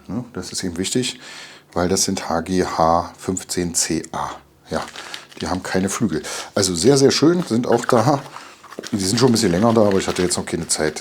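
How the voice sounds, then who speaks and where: A man's voice: on the low side, darkish tone, a little hiss or hum. A middle-aged man casually unboxing machine parts in a home workshop, chatty and enthusiastic, with lots of fillers. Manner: chatty, enthusiastic, frequent fillers and tag questions. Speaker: video maker building a CNC mill.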